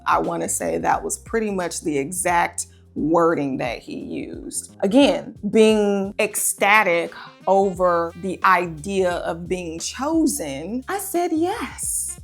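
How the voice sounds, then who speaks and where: Low-pitched, gravelly woman telling stories. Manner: conversational, rhetorical questions to listeners. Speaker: relationship coach telling a personal story. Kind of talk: confessional storytelling to camera or listeners.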